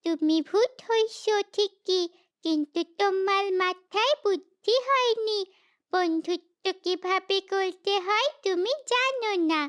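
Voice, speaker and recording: Very high-pitched cheeky little cartoon kid. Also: female voice, slow. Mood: scolding, teasing. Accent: Bangladeshi. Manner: teasing, unimpressed, scolding. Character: cheeky, quick-witted little child who teases a ghost. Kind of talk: cartoon character dialogue.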